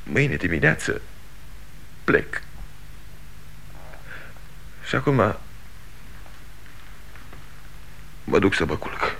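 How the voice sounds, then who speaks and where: An actor playing an anguished, bitter grown son, talking with impassioned pleading that sinks to hushed confession in a radio play. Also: male voice, sits low, very animated, gravelly, a little hiss or hum, heavily acted, poor audio. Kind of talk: 1960 radio theatre acting.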